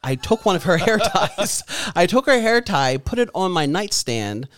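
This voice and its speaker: A young man co-hosting a podcast, chatting casually with crude, self-mocking, deadpan teasing. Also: high voice, normal speed. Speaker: podcast co-host. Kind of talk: casual banter.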